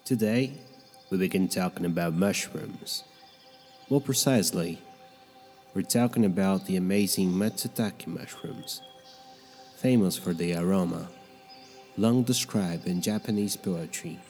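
A man whose first language is Portuguese, hosting his own podcast from his bedroom with a reflective, measured scripted narration. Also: deep voice, darkish tone, in a large hall, soft background music. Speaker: podcast host. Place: bedroom in a noisy neighborhood.

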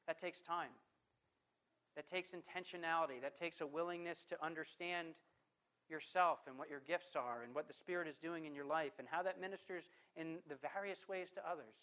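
A man preaching a sermon as pastor. He puts questions to the congregation, slows down to stress scripture, and swings from forceful to hushed. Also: fairly high pitch. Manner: emphatic preaching with rhetorical questions.

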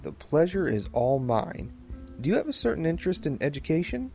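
A smooth, animated college man in a radio drama. He sounds suave and a little haughty, with faint music behind him. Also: a little high, brisk. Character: smooth, flirtatious male college classmate. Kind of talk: audio drama acting.

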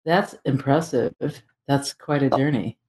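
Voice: mid-range voice, measured pace, darkish tone. An interviewer responding conversationally and earnestly to a guest.

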